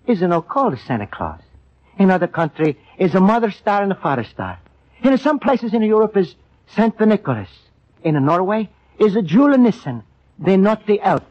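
A man's voice: high voice, a little mellow. An actor playing a kindly, earnest immigrant man as a store Santa, with an Italian accent and broken English, in old radio style. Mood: hurt, gentle. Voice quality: broken English with extra vowels. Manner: earnest, apologetic, eager to please. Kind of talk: old radio comedy-drama acting. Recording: dull, lo-fi old radio recording.

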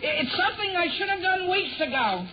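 A man playing an excitable, evasive teenage boy, stammering and pleading in 1940s radio sitcom style. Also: very high voice, fairly quick. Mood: nervous, evasive. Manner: stammering, pleading, exclaiming gee whiz. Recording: noisy, narrow-band 1940 radio recording.

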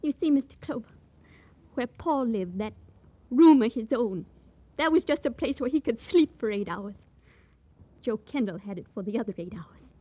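An actress playing a guarded, plaintive eighteen-year-old working-class girl in a 1950s radio crime drama, speaking in simple, halting phrases. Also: a little high, expressive, warm tone, no room sound. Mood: plaintive, sad.

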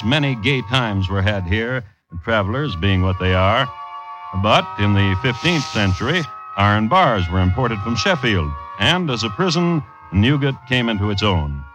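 An actor playing a droll, scholarly crime storyteller, narrating formally and deadpan in 1950s radio style. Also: male voice, mid-range voice, natural voice, poor audio. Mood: formal, lecturing. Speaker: host and narrator.

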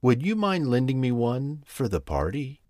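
A man's voice: medium pitch, sing-song, normal speed, darkish tone, no room sound, studio quality, pitched above the performer's own voice, close to an everyday voice. A man voicing a shy little freckled girl frog who speaks in a sweet, pleading way.

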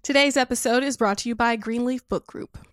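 A woman's voice: fairly high pitch, steady tone, a little fast, no echo, clean studio sound, soft background music. A woman on a podcast reading a sponsor message in an upbeat, scripted way. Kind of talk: read sponsor ad.

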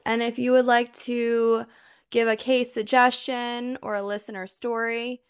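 Woman podcast co-host, hoarse with a cold, smooth and higher voice, laughs between lines. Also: fairly bright tone. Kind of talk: podcast outro.

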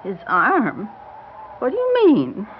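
An actress playing a bewildered, grieving elderly grandmother in a radio drama, halting and repeating herself in a quavering voice. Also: fairly high pitch, huge pitch swings, full character voice. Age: older. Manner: halting, repeating words in distress. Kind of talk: old-time radio drama acting. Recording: dull, hissy classic radio recording.

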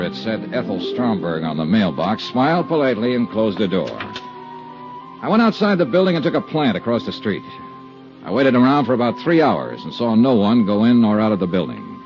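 An actor playing a cool, wry insurance investigator who narrates his case in a clipped, dry 1950s radio detective style. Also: fairly low pitch. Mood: matter-of-fact. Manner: clipped, dry, matter-of-fact hardboiled delivery. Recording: dull 1950s radio recording.

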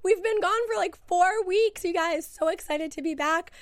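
A woman's voice: extremely high pitch, normal speed. A woman who has lost her voice, hosting a casual TV after-show chat, chatty and self-mocking, leaning into a studio mic. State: hoarse, lost voice. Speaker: host.

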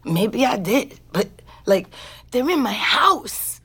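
A woman's voice: on the high side, very animated, slow pace, fairly bright tone, husky, heavily acted. An actress playing a bitter, foul-mouthed woman just out of prison, in naturalistic audio drama with slangy, emphatic delivery. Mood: bitter, defensive. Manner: streetwise, slangy, profane, emphatic.

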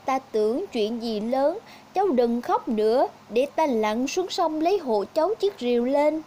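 A woman voicing a kind, gentle old man from a fairy tale, speaking slowly in a fatherly way. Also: sits high, animated, a little hiss or hum. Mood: gentle, consoling.

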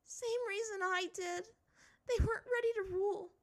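A woman voicing an emotional, defensive teenage runaway princess in a high, quavering voice, in a storybook reading. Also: moderate pace. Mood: upset, pleading.

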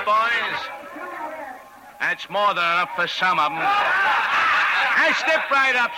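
An actor playing a brash, fast-talking carnival barker in a 1940s radio drama, hawking with repetitive showman patter. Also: extremely high pitch, rough recording. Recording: old radio recording with loud music behind.

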